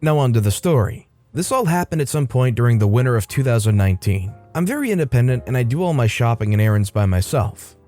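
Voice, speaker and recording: A man narrating a Reddit story in a steady, scripted reading voice. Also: mid-range voice, quick pace, hardly any pauses, faint music behind. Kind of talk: reading a Reddit post aloud.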